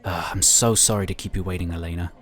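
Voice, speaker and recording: A man's voice: deep voice, steady tone, fast, lightly acted. A man playing an affable, talkative and polite psionic inquisitor in a sci-fi audio drama. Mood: apologetic, chatty. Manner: talkative, easygoing, explanatory.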